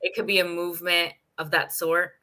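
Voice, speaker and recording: A woman's voice: on the low side, little pitch movement, normal speed, bright, forceful tone. A woman host with an Indian accent chatting casually with her guest, often laughing and murmuring agreement. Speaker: podcast host. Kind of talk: casual interview chat.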